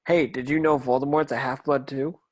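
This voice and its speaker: Man as teenage boy wizard hero, cheeky, defiant, casual cocky delivery, mid-pitched, amateur table read. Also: fairly even intonation, brisk, natural voice.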